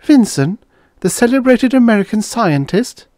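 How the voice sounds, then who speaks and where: A man's voice: fairly high pitch, sing-song, moderate pace, darkish tone, no echo, clean studio sound, voice pushed up. A man voicing a proud, guarded foreign woman scientist in a story, with a clipped, insistent manner. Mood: startled, insistent.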